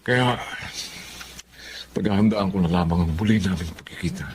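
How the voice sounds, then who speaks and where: A man's voice: sits low, moderate pace, slight background noise, natural voice. A Filipino man acting as a humble, remorseful husband and ex-convict in a radio drama. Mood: worried.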